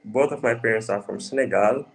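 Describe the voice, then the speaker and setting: Young guy from the gambia, low gravelly voice, keeps restarting his sentences. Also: fairly quick. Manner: hesitant, many restarts and fillers. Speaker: interview guest. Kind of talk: casual interview answers.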